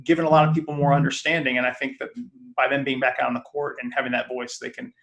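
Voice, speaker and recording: A man speaking as a guest in a casual sports discussion, in a measured, hesitant way. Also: mid-range voice, little pitch movement, vocal fry, fairly bright tone, highs cut off. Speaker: fan site founder guest.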